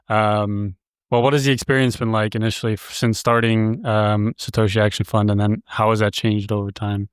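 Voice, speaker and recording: A man from Belgium hosting a podcast, asking questions in a measured, hesitant way and often restarting his sentences. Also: fairly low pitch, smooth, dry recording, clean studio sound. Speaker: podcast host. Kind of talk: interview questions.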